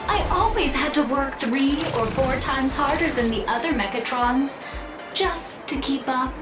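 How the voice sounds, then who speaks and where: A woman voicing a gentle, earnest female robot in a video game, speaking serenely. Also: sits high, animated, a little slow, roomy sound, distant mic, over music. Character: gentle female robot, earnest factory-made outcast who found belonging. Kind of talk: scripted video game acting.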